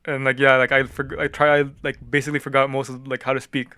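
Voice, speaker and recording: A young man from the Philippines chatting casually on a podcast, slangy and quick to chime in. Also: medium pitch, little pitch movement, bright, forceful tone, clean tone, no echo. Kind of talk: casual chat.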